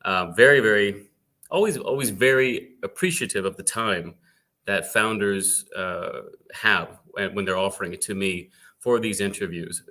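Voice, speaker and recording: A man hosting an online fireside chat interview, talking haltingly and often repeating words as he introduces the guest. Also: on the low side, sharp tone. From United States. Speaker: interview host.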